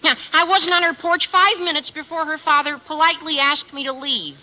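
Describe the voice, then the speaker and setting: An actor playing an eager, chipper teenage schoolboy with a high cracking voice and flowery, excitable delivery in a 1950s radio comedy. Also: male voice, brisk, exaggerated voice. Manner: excitable, exaggerated. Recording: old radio broadcast recording.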